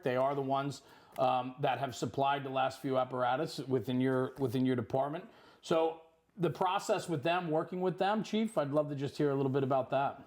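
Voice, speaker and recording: Higher-pitched, effusive middle-aged male host. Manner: effusive, encouraging. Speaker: interviewer, host. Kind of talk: casual on-location interview. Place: firehouse kitchen.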